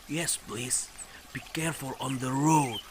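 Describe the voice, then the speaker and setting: A man's voice: medium pitch, unhurried, heavy background noise, lightly acted. A young man with an Indonesian accent playing a patient, cautionary village guide in an amateur radio drama, speaking stiff scripted English. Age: young adult. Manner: stiff scripted delivery in non-native English.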